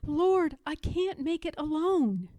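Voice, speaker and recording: A woman's voice: sits high, animated, slow, warm tone, no echo, voice pushed up. A woman voicing a frightened, devout enslaved woman who prays in a pleading, fervent way, as in a storybook.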